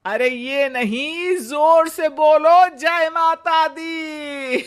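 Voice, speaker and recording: A woman playing a bossy, short-tempered, gluttonous old mother-in-law in a comic animated Hindi story, scolding theatrically. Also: high-pitched, very slow. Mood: devout, bossy.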